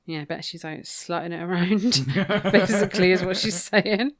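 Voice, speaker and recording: A woman's voice: fairly low pitch, normal speed, a crowd in the background. A British woman co-hosting a podcast, casually recapping a book in an informal, gossipy, wry way. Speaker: podcast co-host.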